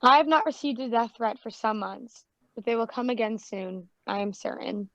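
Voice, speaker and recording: A woman reading a martyr's first-person testimony aloud in a measured, solemn way. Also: on the high side, dry recording, dull audio.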